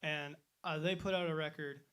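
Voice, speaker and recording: Teen male musician, somewhat higher voice, chatty and self-deprecating guest. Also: steady tone, normal speed, a bit bright, clear, resonant tone. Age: teens. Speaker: band member guest. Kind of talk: casual interview answers.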